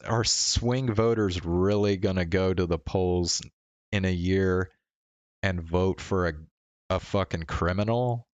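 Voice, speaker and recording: A man livestreaming in a casual, rambling chat, halting and stammering through restarts and swearing casually. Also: sits low, smooth, no room sound, highs cut off. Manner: casually profane.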